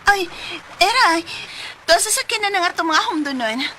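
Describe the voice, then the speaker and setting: A woman acting a bossy, brisk young friend in a radio drama, with a Cebuano Filipino accent. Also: very high voice, expressive, sharp tone, slight background noise, exaggerated voice. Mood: urging. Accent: Filipino, Cebuano-speaking.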